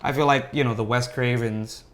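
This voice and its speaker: A man's voice: medium pitch, steady tone, moderate pace. A man in casual, opinionated podcast conversation, speaking with emphasis as one of the panelists. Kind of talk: casual chat.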